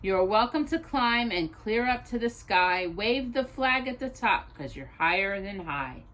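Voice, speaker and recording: A woman reading rhyming children's poems aloud as a storytime host, in an expressive sing-song way, as if to a child. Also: mid-range voice, measured pace.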